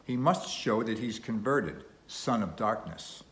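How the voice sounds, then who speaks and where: A man's voice: lowish voice, animated, a little slow, a bit bright, roomy sound. Man acting a stern, hollow-voiced pious old Quaker. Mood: severe. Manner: archaic thee-and-thou speech.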